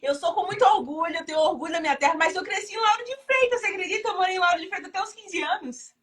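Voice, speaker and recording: A woman's voice: very high voice, rapid-fire, fairly bright tone. A woman from Salvador, hosting a casual live chat in an exuberant, chatty, proud way. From Salvador, Bahia, Brazil. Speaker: live stream host. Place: indoors in Chicago.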